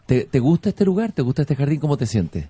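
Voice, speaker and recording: A man with a Chilean accent hosting an interview show, speaking in an unhurried, expressive, literary way as he introduces and questions. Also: medium pitch, husky, dry recording. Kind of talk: reflective spoken introduction and conversational interviewing.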